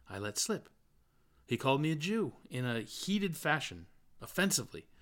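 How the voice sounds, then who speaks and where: A man acts out a mild-mannered middle-aged man from a literary novel. He is earnest and eager to persuade, moralizing in long hedging phrases, and his pitch rides up as he makes his case. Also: sing-song, moderate pace, fairly bright tone. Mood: indignant pride. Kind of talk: literary audiobook dialogue.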